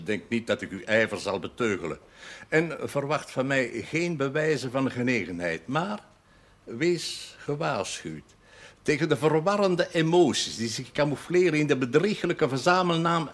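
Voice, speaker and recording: A man in his sixties playing a stern, erudite village pastor in a period TV drama, measured, pompous and sardonic. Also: medium pitch, big pitch swings. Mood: solemn, lecturing. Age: 60s. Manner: pompous, measured, sententious, slipping into French and Latin. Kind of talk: television period drama acting.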